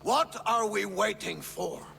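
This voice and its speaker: An actor playing an impatient, dismissive Spartan king in a video game, with a creaky, sharp voice. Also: high-pitched, slow pace, in a large hall, heavily acted. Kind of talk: video game dramatic acting.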